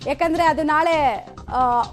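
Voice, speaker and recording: Female TV news anchor, Karnataka accent, high register, emphatic live delivery, faint music bed. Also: slow pace.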